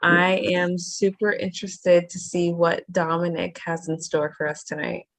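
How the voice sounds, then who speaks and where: A woman's voice: on the low side, normal speed. A woman in her twenties talking casually on a video call. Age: 20s. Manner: casual and relaxed. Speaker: player.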